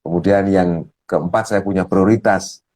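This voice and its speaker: A man speaking as a coach, explaining ideas step by step in a slow, thoughtful way with many fillers. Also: low voice, fairly even intonation, darkish tone. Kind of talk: explaining ideas in a talk show.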